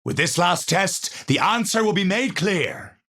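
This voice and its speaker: An actor playing an eccentric, troubled genius physicist in a video game, a breathy voice and formal, precise, theatrical speech. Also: male voice, high-pitched, animated, unhurried, pushed and bright, full character voice. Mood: brooding, intense. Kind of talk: video game character voice lines.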